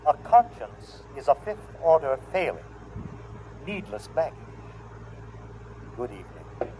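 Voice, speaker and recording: A male actor playing a calm, condescending alien robot in a 1950s radio drama, speaking formally and with measured arrogance. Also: medium pitch. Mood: cold, dismissive. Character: calm, arrogant humanoid robot invader from another planet. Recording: muffled, hissy 1950s radio broadcast.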